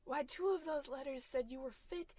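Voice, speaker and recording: A woman's voice: high-pitched, moderate pace, warm tone, natural voice. A woman playing a long-suffering wife in an old-time radio comedy, coaxing yet tart and dryly exasperated. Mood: consoling.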